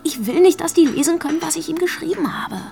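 An actress playing a bitter, grieving young pregnant girlfriend in a naturalistic radio drama, speaking haltingly and emotionally. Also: very high-pitched, vocal fry, warm tone, faint music behind, full character voice. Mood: wounded, defiant. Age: young adult.

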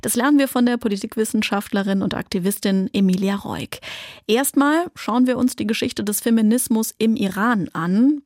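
A woman's voice: mid-range voice, a little mellow, no echo, clean studio sound. A woman hosting a news podcast, narrating from a script and asking her guests questions. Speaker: podcast host.

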